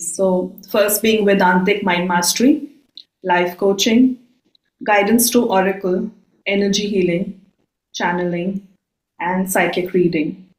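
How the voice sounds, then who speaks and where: Woman, from Delhi, guest, calm and measured, echoey room. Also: mid-range voice. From Delhi, India. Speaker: guest, life coach and healer. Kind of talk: casual self-introduction. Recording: roomy echo.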